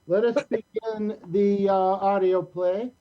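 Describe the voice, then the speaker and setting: High-pitched man hosting a show. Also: steady tone, slow pace, a little hiss or hum. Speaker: show host and director. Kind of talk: casual live show hosting. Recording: dull, lo-fi call audio.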